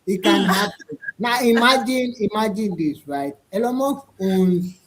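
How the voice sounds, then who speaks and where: A man's voice: sits high, a little slow, a crowd in the background, poor audio. Man, chatty and hesitant. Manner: hesitant restarts, frequent "right?" and "to be honest". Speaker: panelist. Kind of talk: casual roundtable discussion.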